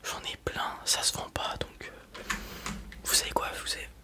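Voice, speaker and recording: A man playing a blunt, casual space robot merchant in a whispered ASMR roleplay, muttering hesitantly in a breathy voice. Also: normal speed, lightly acted. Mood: casual, matter-of-fact. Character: gruff, casual male space robot merchant, wary but kind.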